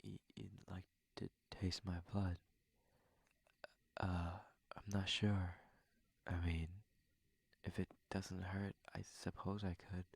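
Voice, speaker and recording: A shy, well-mannered prince, acted by a man for an intimate ASMR roleplay. His voice is low and breathy with a creaky edge, often sinking into a whisper. He speaks gently and formally, hesitating in a flustered, polite way. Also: level tone, slow, long pauses, full character voice. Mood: hesitant, nervous. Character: courteous, shy, flustered young prince at a masquerade.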